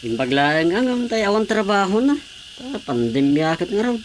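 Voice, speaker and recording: A woman plays a jobless villager in a radio play, resigned but easygoing. Her voice sits at a middling pitch, with soft night noise in the background. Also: very animated, moderate pace, close to an everyday voice. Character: woman out of work, chatting with the councilman. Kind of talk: radio drama acting.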